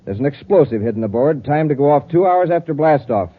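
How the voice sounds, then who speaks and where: An actor playing a smug, threatening saboteur in a 1950s radio melodrama, heard on a tinny old recording. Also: male voice, a little high, expressive, fast. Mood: menacing, commanding. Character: menacing, smug male saboteur villain with a gun.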